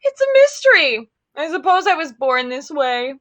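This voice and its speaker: Woman as cowardly storybook lion, high bright voice, self-pitying, melancholy, table-read drama. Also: big pitch swings, normal speed, dull audio. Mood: sheepish. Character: cowardly, melancholy lion lamenting his lack of courage. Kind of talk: live table read of a children's fantasy story.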